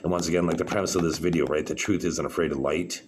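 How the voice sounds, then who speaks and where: A man with a very deep voice, talking in a halting, rambling monologue full of fillers and restarts. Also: fairly even intonation. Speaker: vlogger. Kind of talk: rambling solo monologue to camera. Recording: clear background noise.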